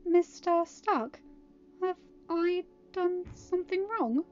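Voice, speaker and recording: A woman voicing an anxious, soft-hearted teenage boy with a hesitant, sincere manner in an audiobook story. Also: very high-pitched, slow, dull audio, soft background music, voice pushed up. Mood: timid, apologetic.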